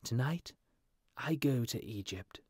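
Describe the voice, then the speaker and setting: A man's voice: medium pitch, lively intonation, slow pace, a little mellow, breathy edge, no room sound, studio quality, voice pushed up. Eager little swallow, as a man voices it. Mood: excited. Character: eager warm-hearted little male swallow. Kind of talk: storybook reading.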